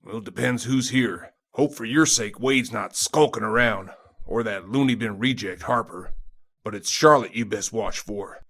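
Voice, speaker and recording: A man's voice: fairly low pitch, normal speed, gravelly, fairly bright tone. An actor playing a wary local man who gives ominous, cautioning warnings in a video game.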